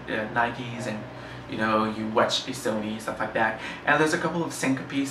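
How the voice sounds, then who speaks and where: A man's voice: mid-range voice, bright, forceful tone. A young man whose first language is French, chatting casually about a book, rambling and correcting himself as he goes. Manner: rambling, self-correcting, enthusiastic. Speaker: book reviewer. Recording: roomy, slightly distant mic, faint hiss.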